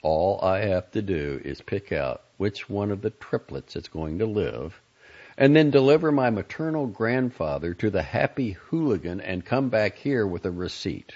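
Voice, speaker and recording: Man as wretched, sardonic father, low and hoarse. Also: moderate pace, muffled recording. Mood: hollow sarcasm. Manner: flat, sulky, sardonic. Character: frowsy, wretched expectant father, bitterly sarcastic and sullen.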